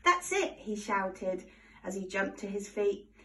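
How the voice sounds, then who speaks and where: A woman reading a children's picture book aloud in an expressive, animated storytelling manner. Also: mid-range voice, takes it slowly, far from the mic. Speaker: storybook reader.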